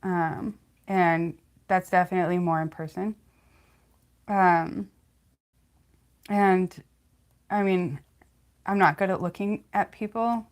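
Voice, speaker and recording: A young woman with a slight lisp, chatting casually on a livestream, halting often with fillers and restarts. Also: lowish voice, fairly even intonation. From California, United States. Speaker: livestream host.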